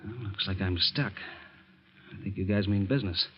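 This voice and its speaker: A man's voice: low voice, normal speed, lightly acted. A man playing an earnest, caring ex-convict in an old-time radio drama. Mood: wary, defiant. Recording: muffled, faintly noisy old radio recording.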